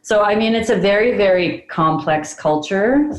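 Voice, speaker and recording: A woman's voice: mid-range voice, lively intonation, normal speed, echoey room. A woman from Prince Edward Island, a band member being interviewed, explaining at length in a chatty way with frequent laughs, heard over a video call. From Prince Edward Island, Canada.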